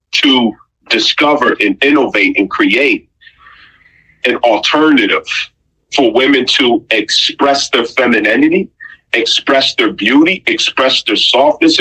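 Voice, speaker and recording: A man's voice: mid-range voice, bright, forceful tone, slightly rough, poor audio. A man giving an opinionated, conversational monologue, blunt and emphatic, with rhetorical questions and pointed repetition. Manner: blunt, profane slang.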